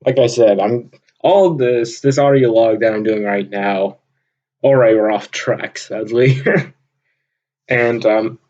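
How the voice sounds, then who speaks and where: A young man from Vermont with a loud, booming voice, talking off the cuff in an unscripted audio log, rambling with lots of filler words. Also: dull audio. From Vermont, USA. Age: young adult. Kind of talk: unscripted casual monologue. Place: at his desk, indoors. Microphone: computer microphone on a desk.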